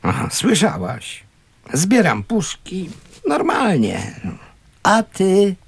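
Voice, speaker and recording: A husky, hesitant homeless can collector. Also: male voice, high-pitched, wildly swooping pitch, exaggerated voice. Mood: defensive. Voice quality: husky, breathy. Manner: hesitant, halting. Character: shabby, cautious, kindly homeless man who collects cans. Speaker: actor in a radio play. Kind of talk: naturalistic radio drama acting.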